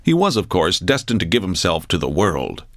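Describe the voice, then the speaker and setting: A grandiose male documentary narrator. His low, widely modulated voice is pompous yet deadpan, recorded very dry, in mock-documentary style. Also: normal speed, dry recording, exaggerated voice.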